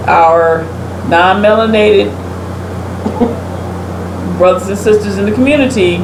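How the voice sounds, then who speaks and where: A woman's voice: mid-range voice, takes it slowly. A woman co-hosting a casual talk show, chatting and giving her opinions in an emphatic, conversational way. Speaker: podcast co-host. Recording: roomy echo, clear background hum and noise.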